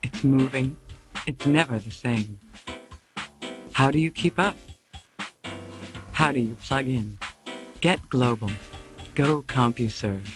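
A man's voice: medium pitch, takes it slowly, pauses often. A man reading a scripted commercial voice-over in short, punchy lines. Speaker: ad announcer. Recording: archival ad with music bed. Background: guitar music.

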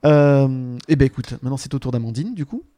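A man's voice: medium pitch, expressive, fairly quick, mellow. A man hosting a casual talk show, chatting in a joking, teasing way. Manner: jokey, teasing. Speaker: host.

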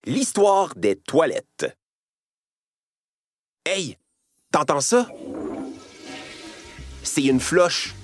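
Animated Quebecois man narrating. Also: on the high side, very slow, pauses often, music in the background. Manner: playful, addresses the listener directly. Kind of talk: scripted educational narration for children.

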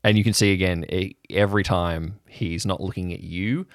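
Low-voiced man narrating an improvised story. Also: steady tone, dry recording, clean studio sound. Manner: halting, searching for words. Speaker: game master narrator.

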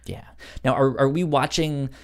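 A man's voice: mid-range voice, moderate pace, gravelly. Casual man hosting a podcast. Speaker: podcast host.

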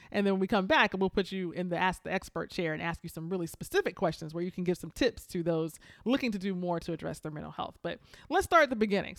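Brisk, friendly female host with a fast pace and a dry close mic. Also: medium pitch, dry recording. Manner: upbeat, talkative, laughs easily. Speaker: podcast host. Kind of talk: casual interview chat.

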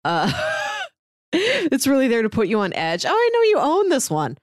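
A woman's voice: high-pitched, huge pitch swings, unhurried, no echo, clean studio sound. A woman co-hosting a podcast, chatting in a casual, conversational style. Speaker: podcast co-host. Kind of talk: casual comic book discussion.

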